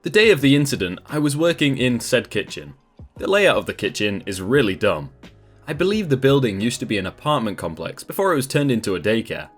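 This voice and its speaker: A man narrating a read-aloud online story in a scripted, expressive manner. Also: mid-range voice, brisk. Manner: lively, expressive reading. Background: faint background music.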